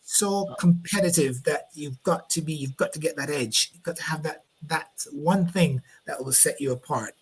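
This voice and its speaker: A man's voice: fairly high pitch, moderate pace, few pauses, breathy edge, rough recording. A man from Barbados with a Barbadian accent, hosting a live online interview over a video call and repeating words as he finds his phrasing. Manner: rambling, repeats words while finding phrasing. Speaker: host.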